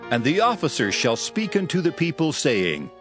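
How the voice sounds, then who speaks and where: Man reading the bible dramatically, solemn, pitch moves a lot, music clearly behind him. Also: wildly swooping pitch, normal speed. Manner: solemn, declamatory. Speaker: Bible narrator. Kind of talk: dramatized scripture narration.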